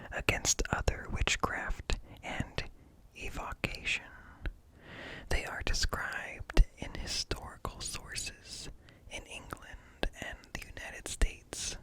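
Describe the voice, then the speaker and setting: A man whispering an ASMR reading in a hushed, deliberate way, very close to the microphone. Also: rough recording. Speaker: ASMR host reading articles. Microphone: close up, ear-to-ear stereo.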